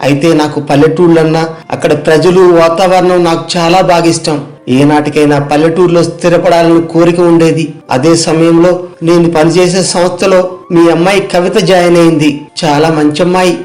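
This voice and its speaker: A man's voice: a little high, flat delivery, brisk, a little mellow, lightly acted. A man playing an earnest, idealistic young man who explains himself politely and sincerely in an audio story. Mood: sincere, confiding. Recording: music behind the voice.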